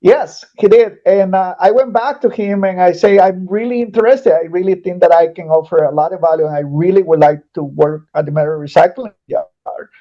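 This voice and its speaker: A man in his forties from Guatemala, a guest talking through his career in a casual interview, pausing on fillers and restarts. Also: high voice, lo-fi sound. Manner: thoughtful, frequent fillers and restarts. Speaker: podcast guest, metal recycling executive.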